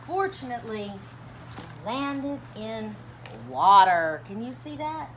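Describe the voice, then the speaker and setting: A woman gives an animated storytime read-aloud in a fairly high voice that swings widely up and down. She takes her time, pausing to chat and put questions to young listeners in an expressive, dramatic way. There is clear noise behind her. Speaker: teacher reading a children's picture book aloud. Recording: clearly noisy background.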